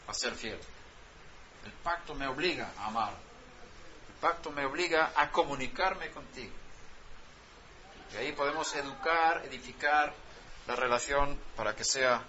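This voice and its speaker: A man's voice: fairly high pitch, noisy background. A man whose first language is Swedish, preaching a sermon and pressing his point with rhetorical questions. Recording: dull lo-fi sound, faint ambience.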